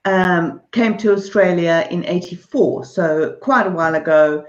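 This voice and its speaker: A woman from Zimbabwe and South Africa with a South African accent, a guest answering interview questions in a relaxed, thoughtful way with many hesitations. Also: fairly low pitch, a little slow. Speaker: artist and author, interview guest. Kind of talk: casual video-call interview. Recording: dull lo-fi video call in a roomy space.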